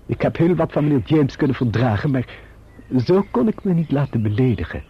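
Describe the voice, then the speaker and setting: An actor playing a formal, servile and self-serving manservant in a Dutch radio drama, with a Netherlands accent and measured delivery. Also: male voice, mid-range voice, lively intonation, slightly rough. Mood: formal, self-justifying. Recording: muffled old radio drama recording.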